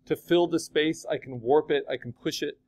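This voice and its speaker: Guy teaching a photoshop class, kinda high voice, chatty, keeps asking the room stuff. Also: moderate pace, darkish tone. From California. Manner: chatty, asks the class questions. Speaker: art instructor. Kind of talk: classroom software demo.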